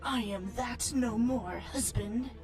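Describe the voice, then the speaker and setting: A man's voice: very high voice, slow pace, soft background music, pitched above the performer's own voice, full character voice. A man voicing a cold, treacherous young sorceress wife in a spoken horror story, her hard words spat out. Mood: cold, scornful.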